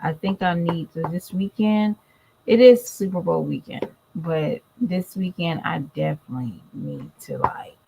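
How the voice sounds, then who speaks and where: A woman's voice: on the low side, big pitch swings, a little slow, creaky, mellow, slightly rough, rough recording. A tired, congested woman chatting casually to her viewers in a vlog. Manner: chatty, addresses viewers directly. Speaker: vlogger. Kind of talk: casual chat to camera.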